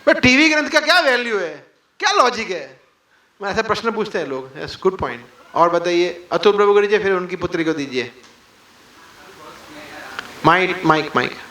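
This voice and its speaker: A man with a high, ringing voice speaks to a live audience at a spiritual class. He answers their points with rhetorical questions and playful mock quotes. His voice carries through a reverberant hall. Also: animated, moderate pace, pauses often, pushed and bright. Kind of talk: interactive spiritual class talk.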